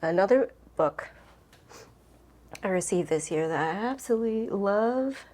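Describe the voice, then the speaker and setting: A woman's voice: mid-range voice, animated, a little slow, a little mellow. A woman book vlogger chatting casually and rambling to camera, with an informal, gushing and emphatic way of talking.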